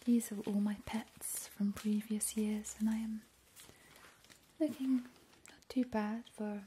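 Whispering woman, very slow ASMR vampire. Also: mid-range voice, fairly even intonation, mellow, a little hiss or hum. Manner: whispering, slow and soothing, with repeated words. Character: playful, seductive female vampire. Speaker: ASMR host.

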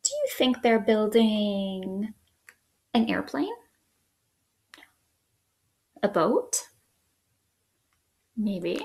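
A woman in her thirties hosting a children's story time, reading aloud in an expressive, sing-song way at home. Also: medium pitch, drawn-out words, creaky. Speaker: children's librarian, storytime host. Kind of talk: picture book read-aloud for young children. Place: small living room indoors.

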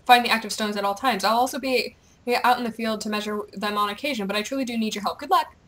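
A young woman gamer with a playful, excitable, teasing manner, chatting over gameplay and reading game dialogue aloud. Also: a little high, fast. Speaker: gamer, video host.

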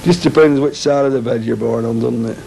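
A man's voice: mid-range voice, brisk, creaky, warm tone, low-quality recording. A middle-aged man with a Lancashire accent, talking bluntly and with wry jokes in a casual interview at home. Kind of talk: casual opinion chat. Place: home living room. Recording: 1980s TV film with faint noise.

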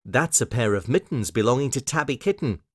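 A man's voice: medium pitch, expressive, a little fast, dry recording, studio quality, close to an everyday voice. A man voicing a fussy, eager little female hedgehog washerwoman from a children's storybook, with flustered, hesitant politeness. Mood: busy, chatty.

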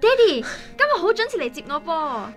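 Very high, bright Cantonese little girl. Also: very animated, moderate pace, faint music behind, full character voice. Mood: cheerful, obedient. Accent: Hong Kong Cantonese. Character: young schoolgirl, sweet, worried, devoted daughter. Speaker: radio drama actress. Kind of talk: radio drama acting.

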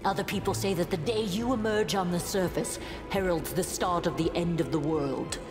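A resolute woman commander played by an actress in a fantasy game, speaking formally and gravely in a lowish voice. Her words ring through a reverberant hall with music clearly behind. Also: moderate pace, natural voice. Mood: grave, urgent. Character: stern, resolute woman commander of crusader warriors. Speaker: military commander.

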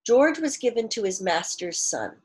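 A middle-aged woman reading a storybook aloud expressively like a teacher, deliberately stressing key words and pausing to explain. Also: medium pitch, very animated, a little mellow, husky. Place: small living room at home, indoors.

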